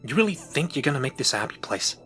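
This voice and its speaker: Brash robot rival, male actor, sharp bright tone, sarcastic and combative, audio drama with light music bed. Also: medium pitch, very animated, quick pace. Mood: sarcastic, challenging. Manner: sarcastic, needling.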